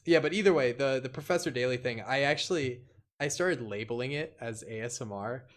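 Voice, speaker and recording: A man talking casually and informally as a podcast guest, a YouTuber who uses slang and halting phrasing. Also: medium pitch. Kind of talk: casual chat.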